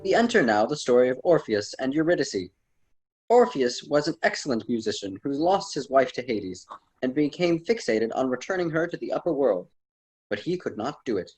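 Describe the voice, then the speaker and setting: A man's voice: mid-range voice, very animated, normal speed, a little mellow, breathy edge, faint music behind, poor audio. A man playing a patient ancient Roman poet and mentor in a radio drama, speaking in formal, old-fashioned phrases. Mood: patient, persuasive. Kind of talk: theatrical radio drama dialogue.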